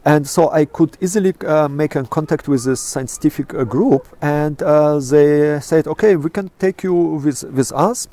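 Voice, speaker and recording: Mellow-voiced man with a Russian accent. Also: a little high. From Kazakhstan. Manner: halting, many fillers and restarts. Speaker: interviewee, astrophotographer. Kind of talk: casual interview talk. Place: outdoors in a national park.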